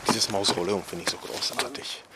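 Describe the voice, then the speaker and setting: Low-voiced guy musing about graves, hesitant, full of fillers, outside. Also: moderate pace, heavy background noise. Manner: reflective, many fillers like "sozusagen" and "in der Tat". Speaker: author. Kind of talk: walking conversation. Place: outdoor cemetery.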